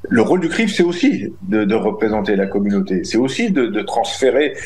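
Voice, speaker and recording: A man's voice: lowish voice, big pitch swings, a little fast, darkish tone. A middle-aged man answering interview questions as a guest, emphatic and indignant, often restarting his phrases. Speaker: guest, community representative.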